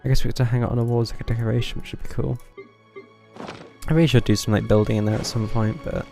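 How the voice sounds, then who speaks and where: A man giving casual live gameplay commentary, low-key and thinking aloud. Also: level tone, fast, gravelly, mellow. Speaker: game streamer. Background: game music.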